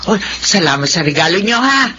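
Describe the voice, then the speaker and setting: A woman's voice: lowish voice, big pitch swings, moderate pace, pushed and bright, slight background noise. A woman with a Filipino accent acting as a kindly, cheerful old grandmother in a radio drama. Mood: cheerful, doting. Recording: dull radio recording under clear music.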